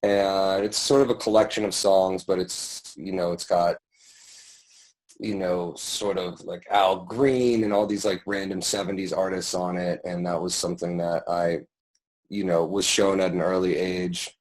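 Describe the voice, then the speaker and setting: Deep-voiced man answering unhurriedly. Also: little pitch movement, a little slow, a little mellow, poor audio. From born in London, raised in Paris and New York. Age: 30s. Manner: hesitant and thoughtful, many fillers and restarts. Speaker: guest, music producer and DJ. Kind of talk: casual interview answers.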